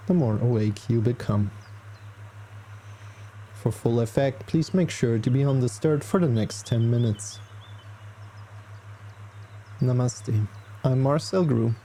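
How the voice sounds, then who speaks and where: A man leading a guided meditation as a yoga teacher, speaking calmly and gently with unhurried pauses. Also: mid-range voice, a little hiss or hum.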